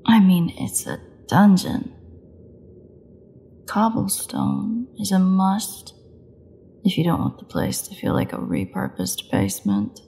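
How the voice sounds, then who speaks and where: A woman's voice: lowish voice, wildly swooping pitch, slow, creaky, mellow, a little hiss or hum, full character voice. A woman playing a starving, imprisoned female vampire in an audio roleplay, taunting her captors with a cocky, sarcastic drawl. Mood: mocking. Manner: sarcastic, teasing drawl with dramatic drops. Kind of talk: solo audio roleplay acting.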